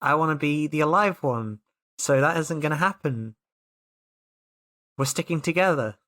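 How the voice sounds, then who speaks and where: A player talks through a tabletop role-playing game in casual chat. The voice sits at a middle pitch, and the delivery is emphatic, with words often repeated. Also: animated, moderate pace, fairly bright tone.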